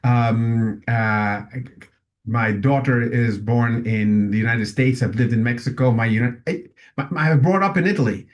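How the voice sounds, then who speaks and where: Halting, musing man at a session. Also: mid-range voice, dull audio. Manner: halting, many restarts and fillers; musing and philosophical. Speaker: session speaker. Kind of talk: reflective talk at an online session.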